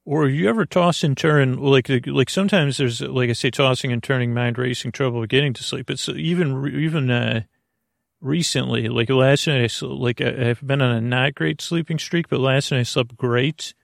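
A man hosting a bedtime podcast in a rambling monologue, meandering and halting, self-deprecating and often trailing off mid-sentence. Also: mid-range voice, creaky, no echo, studio quality. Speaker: podcast host.

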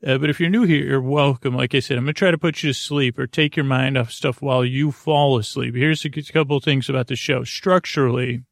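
Creaky, meandering sleep-podcast man. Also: brisk, few pauses, no room sound, clean studio sound. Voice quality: creaky, low-key delivery. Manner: rambling, self-interrupting, goes off on tangents; gentle reassurance, flat pitch. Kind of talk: meandering bedtime monologue.

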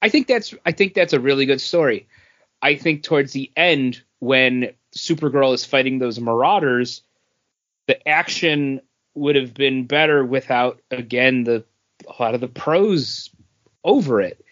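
A man's voice: mid-range voice, a little slow, fairly bright tone. Man in casual chat, slightly dull audio. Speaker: podcast panelist.

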